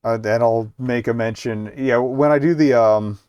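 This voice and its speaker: A man's voice: mid-range voice, moderate pace, a little mellow, clean tone, dry recording, lo-fi sound. A man giving casual live game commentary as a streamer, rambling and hesitant, with muttered asides.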